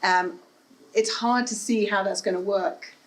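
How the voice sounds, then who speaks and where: A woman speaking on a panel at an event, earnest and measured, with many fillers, into a handheld mic. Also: medium pitch, steady tone. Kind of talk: panel discussion. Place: event room with an audience. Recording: echoey room with faint ambience.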